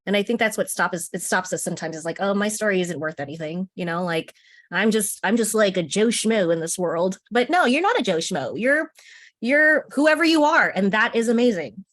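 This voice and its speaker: A woman's voice: mid-range voice, expressive, a little fast, a bit bright, no room sound, studio quality. An American woman talking as a guest in an interview, earnest and encouraging, laughing often as she speaks. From United States. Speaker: interview guest, contributing author. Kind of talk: casual interview conversation.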